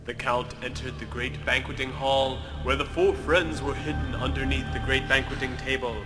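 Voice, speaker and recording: A man's voice: medium pitch, level tone, normal speed. A man narrating a melodramatic story as scripted, in a theatrical, emphatic way. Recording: long reverb, music behind.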